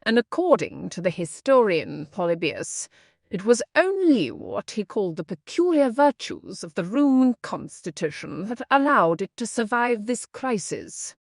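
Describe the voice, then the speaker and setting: A woman's voice: mid-range voice, sing-song, unhurried, dry recording, clean studio sound. A woman reading a practice passage aloud from a script, as a model reader for an English test.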